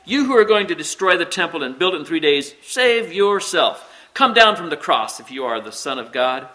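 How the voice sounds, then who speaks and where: A man voicing scornful mockers in a dramatic scripture reading, loud and taunting, drawing out the words. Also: mid-range voice, moderate pace, natural voice. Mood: jeering, scornful.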